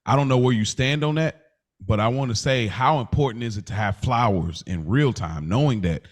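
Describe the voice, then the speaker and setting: A man's voice: medium pitch, moderate pace. A man hosting a casual podcast, posing reflective questions in slangy, conversational language.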